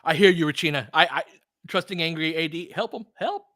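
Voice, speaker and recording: Excitable guy calling a hoops game as a fan, kinda high voice, very dry close sound, swears. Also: brisk, no echo. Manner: profane, excitable fan talk with analytical asides. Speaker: sports podcast host and analyst. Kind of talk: live basketball watch-along commentary.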